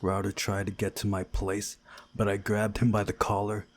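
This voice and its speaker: A man reading a visual novel aloud as narrator, in a measured storytelling way with a husky voice. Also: on the low side, completely flat delivery. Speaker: gaming video narrator.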